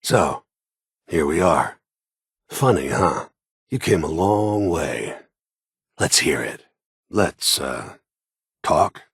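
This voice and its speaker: A cold, world-weary video game villain, a middle-aged American gun for hire with an extremely low, heavily rasping voice. He speaks in a laconic, sardonic way with quiet menace. The sound is close and dry. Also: big pitch swings, very slow, long pauses, no echo, exaggerated voice. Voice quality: gravelly, raspy. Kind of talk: video game voice acting, cinematic monologue.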